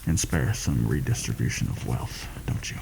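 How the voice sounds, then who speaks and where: A man playing a seasoned, wry assassin in a soft-spoken ASMR roleplay, talking in a hushed, measured, conspiratorial way with a gravelly voice. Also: extremely low pitch, full character voice. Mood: dry, conspiratorial. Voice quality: gravelly, creaky. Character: seasoned, wry rebel assassin planning a palace heist. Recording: noticeable background noise.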